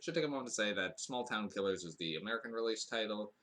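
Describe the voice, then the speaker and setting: A man's voice: fairly low pitch, lively intonation, fast talker, a bit bright. A man hosting a podcast, giving a casual, rambling film review full of fillers and restarts, with dry asides.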